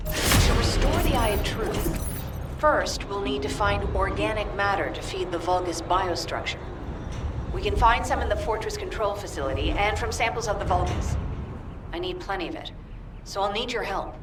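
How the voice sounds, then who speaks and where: A woman voicing a calm, practical, indulgent repair specialist in a video game, speaking matter-of-factly. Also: mid-range voice, moderate pace, music in the background, lightly acted. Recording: echoey, distant, noisy ambience.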